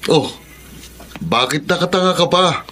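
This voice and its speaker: A man's voice: a little high, expressive, unhurried, pushed and bright, exaggerated voice. A Filipino man playing a cruel, greedy, mocking stepfather in a radio drama, with a sneering, scolding delivery.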